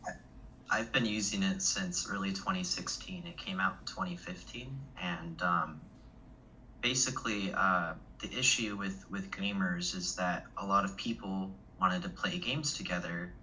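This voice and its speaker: A man's voice: deep voice, unhurried, pushed and bright, slight background noise, poor audio. A man explaining something casually, with "um" and "you know" fillers.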